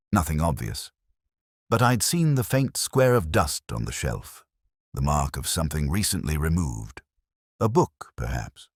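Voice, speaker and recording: Very deep male detective story narrator. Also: breathy edge, no echo, studio quality. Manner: measured, literary, formal delivery. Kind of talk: scripted first-person detective story narration.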